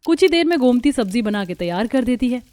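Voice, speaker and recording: A woman's voice: a little high, sing-song, fast talker, a little mellow. A woman reading scripted narration for a Hindi cartoon family story.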